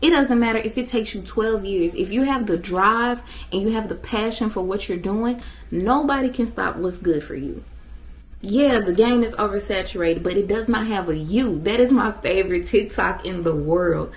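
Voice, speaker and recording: A woman in her twenties talking to viewers in a casual motivational vlog, emphatic and animated, addressing them directly. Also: medium pitch, normal speed. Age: 20s. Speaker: vlogger.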